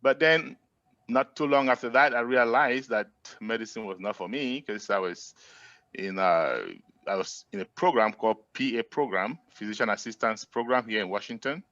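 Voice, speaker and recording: A middle-aged man from Cameroon, a guest in a casual interview who tells his story in a friendly, relaxed way with frequent "uh" fillers. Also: medium pitch, moderate pace, no echo, muffled recording.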